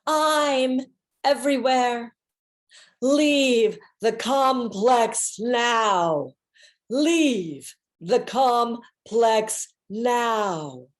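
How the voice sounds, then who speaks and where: A woman voicing a Divine Mother goddess in a stage monologue, slow, drawn-out and commanding. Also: a little high. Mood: solemn, commanding.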